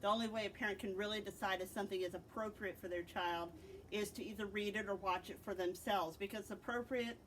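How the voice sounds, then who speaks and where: A woman's voice: mid-range voice, slight background noise, poor audio. A middle-aged American woman, a librarian host, gives informal book recommendations in an explanatory way, stressing key words.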